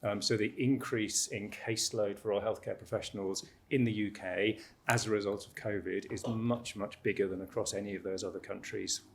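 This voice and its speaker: A man's voice: fairly low pitch, fairly even intonation, normal speed, gravelly, hardly any pauses. A man facilitating a panel and presenting a report, with many fillers and restarts.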